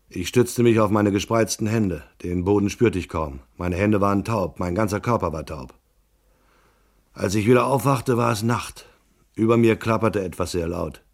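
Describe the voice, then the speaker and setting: A noir private eye, low voice, deadpan, laconic, radio play narrator. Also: male voice. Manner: terse, dry, deadpan. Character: hard-boiled male private detective, laconic and world-weary. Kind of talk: first-person noir radio drama narration with dialogue.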